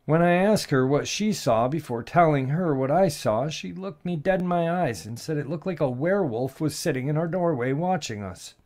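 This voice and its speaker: A man calmly reading out listeners' first-person stories of frightening encounters. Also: a little high, normal speed, few pauses. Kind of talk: steady storytelling narration.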